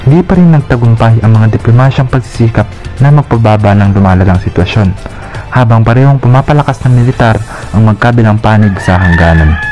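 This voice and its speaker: A man's voice: on the low side, fairly quick, music in the background. A man reading scripted news as a reporter.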